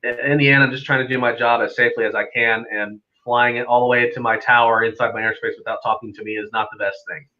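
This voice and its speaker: A man's voice: medium pitch, flat delivery, fast, few pauses, lo-fi sound. A man, an air traffic controller guesting on a live stream, explaining things casually in an easygoing, conversational way. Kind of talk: casual unscripted explanation with anecdotes.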